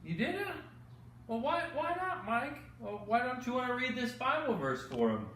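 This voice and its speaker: A man hosting bedtime Bible stories for children, teaching gently with exaggerated, kid-friendly questions and repeated phrases. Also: very high voice, sing-song, moderate pace, distant mic. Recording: echoey room, off-mic, faint noise.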